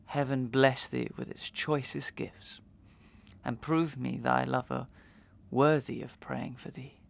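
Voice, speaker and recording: A man performs a wronged, despairing young gentleman from a Victorian stage melodrama. His middle-pitched voice has a pronounced creak, and he declaims every phrase with noble, archaic passion. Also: little pitch movement, slow. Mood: mournful farewell. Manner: declamatory, archaic, impassioned delivery. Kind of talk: Victorian stage melodrama acting in an audio drama.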